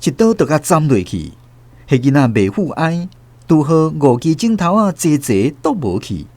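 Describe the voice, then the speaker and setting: A man with a Taiwanese accent narrating a scripted story in a theatrical, expressive way. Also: fairly high pitch, normal speed, a little hiss or hum.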